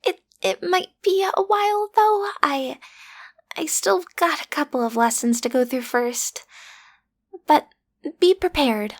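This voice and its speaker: Woman acting a high-pitched, flustered young villainess. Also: unhurried. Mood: awkward, earnest. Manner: stammering, earnest, easily flustered. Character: flustered, cute teenage villain's daughter, trying to intimidate. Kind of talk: roleplay audio acting.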